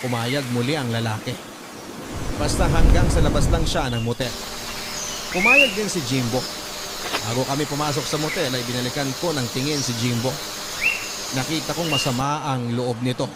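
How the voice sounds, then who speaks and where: A man's voice: medium pitch, normal speed, pauses often, heavy background noise, close to an everyday voice. A man voicing a young prostitute who recounts a troubled past as a confession in dramatized radio storytelling. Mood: uneasy, regretful. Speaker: narrator reading a letter sender's confession. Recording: loud music under the voice. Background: loud music.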